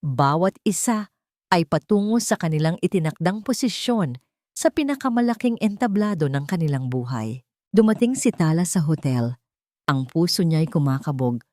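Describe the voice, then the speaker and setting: A woman reading a scripted romance story as narrator, with deliberate, dramatic phrasing. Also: deep voice, warm tone, no room sound, studio quality.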